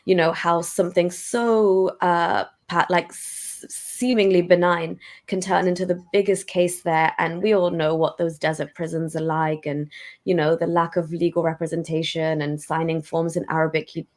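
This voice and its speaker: A woman's voice: lowish voice, flat delivery, normal speed, darkish tone. A woman with a British accent hosting a casual livestream interview, speaking in a friendly way. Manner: friendly, laughs easily. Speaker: host.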